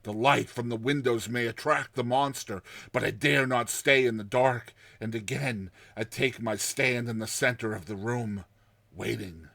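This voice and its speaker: A man voicing a terrified man telling his nightmare in a dramatized story, with tense pauses, hushed passages and explosive outbursts. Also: mid-range voice, vocal fry, dry recording. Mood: rising panic. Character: terrified man recounting his nightmare of a lurking giant serpent.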